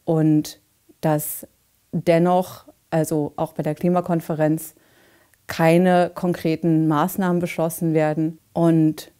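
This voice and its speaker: A woman in her forties speaking in a recorded interview, measured and halting, with frequent fillers. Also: deep voice, warm tone, clean tone. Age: 40s. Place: dim indoor set.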